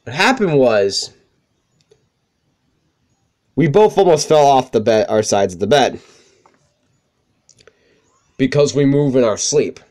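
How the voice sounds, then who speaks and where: A young man with a high-pitched voice, swearing freely as he tells rambling stories over gameplay. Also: animated, slow pace, long pauses, darkish tone. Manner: profane, rambling, self-deprecating. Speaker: let's play commentator. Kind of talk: casual gameplay commentary with storytelling.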